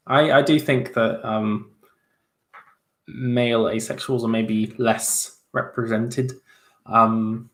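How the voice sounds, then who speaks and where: A man's voice: on the low side, fairly bright tone. A young man chatting casually as he answers viewer questions on a livestream, self-deprecating, with many fillers and restarts. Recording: roomy echo.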